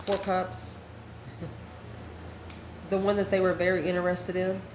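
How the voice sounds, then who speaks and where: A woman's voice: on the low side, monotone, brisk. A woman giving an improvised online tarot reading in a folksy, colloquial and sassy way. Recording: roomy echo with faint background noise.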